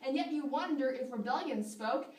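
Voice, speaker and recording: A woman's voice: high voice, normal speed, a little mellow, close to an everyday voice. Echoing and a little far from the mic, a woman plays a guilt-ridden Puritan minister. The delivery is earnest, pleading and preachy, in amateur drama style. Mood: agitated, anguished. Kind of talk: amateur stage-play acting. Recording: echoey room, distant mic.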